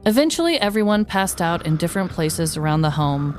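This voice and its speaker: A woman's voice: fairly low pitch, very animated, a little fast, soft background music. A woman podcast host narrating a scripted comedic story.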